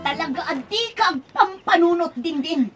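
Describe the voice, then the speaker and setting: Woman as mean filipino mom, high swoopy voice, scolding and bossy, audio drama over music. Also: expressive, normal speed. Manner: scolding, imperious. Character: harsh, accusing, short-tempered mother and madam.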